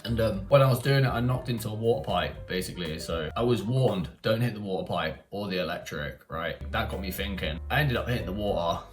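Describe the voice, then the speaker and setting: A young man with a low-pitched voice and a London-leaning English accent chats casually to camera. He rambles in a candid, informal way, like a YouTuber answering personal questions. Guitar music plays behind him. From Marbella, Spain. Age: young adult. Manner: informal, candid, rambling with frequent "like" and "you know".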